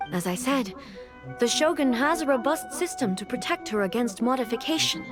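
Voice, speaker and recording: High-voiced, solemn regal goddess. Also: female voice. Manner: formal, measured, aloof. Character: solemn, regal goddess ruler, reserved and resolute. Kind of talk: video game character acting. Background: background music.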